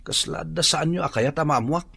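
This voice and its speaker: A man's voice: a little high, fairly quick, vocal fry, a bit bright, breathy edge, no echo, exaggerated voice. A man playing a suspicious young son, probing and questioning, in an Ilocano radio drama with an Ilocano accent. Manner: probing, accusatory.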